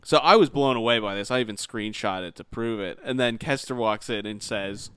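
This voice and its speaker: A man's voice: mid-range voice, fairly quick, fairly bright tone, smooth, no echo. A man co-hosting a podcast with casual gaming banter, full of swearing and joking trash talk. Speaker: podcast co-host.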